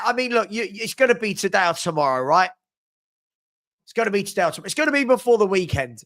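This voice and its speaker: A young man hosting a live fan chat stream, upbeat and chatty as he reads out viewers' comments and gives shout-outs, with a head cold that leaves him a bit sniffly. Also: high-pitched.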